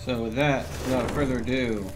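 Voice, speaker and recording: A man's voice: mid-range voice, steady tone, a little fast, over music. A man streaming a video game, giving casual commentary as he talks to himself and chats to viewers.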